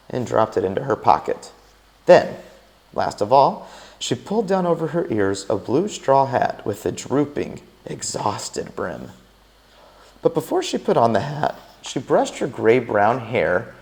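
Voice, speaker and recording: A man narrating a chapter of a novel aloud in an expressive, unhurried read-aloud style. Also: mid-range voice, vocal fry. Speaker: teacher reading a novel aloud.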